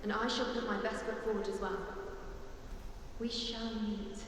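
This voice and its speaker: Game role: actress playing wistful, formal woman of fateful words, medium pitch, drenched in echo. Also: level tone, slight background noise, close to an everyday voice. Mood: tender resolve. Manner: measured, formal, poetic phrasing. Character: solemn, wistful woman speaking of fate and parting. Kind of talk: dramatic video game voice acting. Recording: heavy echoing reverb under music.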